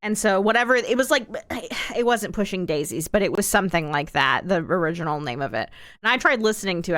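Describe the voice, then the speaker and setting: A woman's voice: mid-range voice, fairly bright tone, dry recording, studio quality. A woman livestreamer rambling casually to her viewers in a wry way, leaning on and repeating words with exaggerated emphasis.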